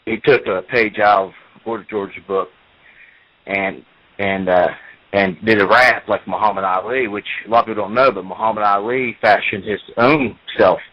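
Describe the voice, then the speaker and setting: Man in his forties, lowish voice, talkative, repeats himself, muffled lo-fi audio. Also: steady tone, normal speed. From United States. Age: 40s. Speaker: professional wrestler. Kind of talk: interview.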